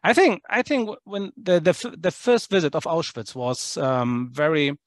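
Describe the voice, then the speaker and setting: A man's voice: a little high, normal speed, no echo, clean studio sound. A man from Poland, talking casually as a podcast guest, hesitant with many fillers and restarts. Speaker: podcast guest, tour guide.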